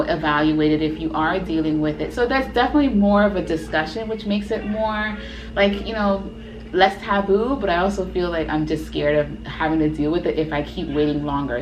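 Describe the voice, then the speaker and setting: A woman in her thirties co-hosting a casual chat, talking in an earnest, reflective way and thinking aloud. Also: lowish voice. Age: 30s. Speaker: co-host. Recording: echoey room with steady background noise.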